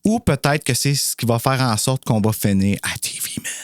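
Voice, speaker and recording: A man's voice: medium pitch, normal speed, no echo. Casual Quebec man, very dry sound. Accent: Quebec French. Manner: informal, joking, slangy. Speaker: host and editor of a fiction podcast. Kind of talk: casual chat among friends.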